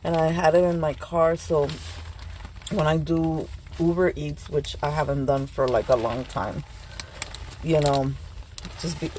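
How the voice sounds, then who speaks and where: Slow, hoarse woman chatting casually. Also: low-pitched, a little mellow, a little hiss or hum, low-quality recording. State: hoarse from a cold. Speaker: vlogger. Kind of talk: casual chat.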